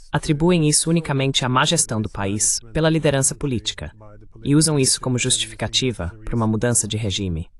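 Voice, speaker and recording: Brazilian guy hosting an interview, mid pitched voice, dubbed translation style. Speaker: interview host.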